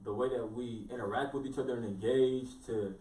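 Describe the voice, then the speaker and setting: Fast-talking Seattle man, casual chat, distant echoey mic. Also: mid-range voice, steady tone, dark tone. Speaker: recording artist. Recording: roomy sound from far off.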